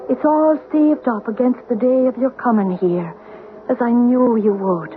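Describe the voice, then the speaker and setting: A woman's voice: fairly high pitch, a little slow, close to an everyday voice. An actress playing the restless, pleading ghost of a dead mother in an old radio horror drama, plaintive and ethereal. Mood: mournful, pleading. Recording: noisy, muffled vintage radio recording.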